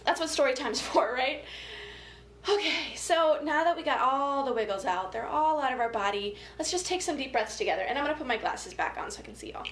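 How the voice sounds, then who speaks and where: High-pitched woman hosting children's story time, echoey. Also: expressive, a little fast, a bit bright. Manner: playful, chatty, informal, encouraging children along. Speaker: story time host, family educator. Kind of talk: casual interactive children's story time, movement prompts and read-aloud. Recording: echoey room with light background noise.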